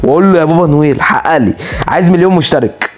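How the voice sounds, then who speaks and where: Fast-talking excitable Egyptian young man. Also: fairly high pitch. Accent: Egyptian Arabic. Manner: excitable, dramatic outbursts, playful threats. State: tired from no sleep. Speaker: gaming YouTuber. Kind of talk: casual game account showcase commentary.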